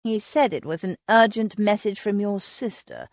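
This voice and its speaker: A woman reading an audiobook voices a flirtatious Regency gentleman in a lowered, smooth, courtly voice. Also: moderate pace, no echo.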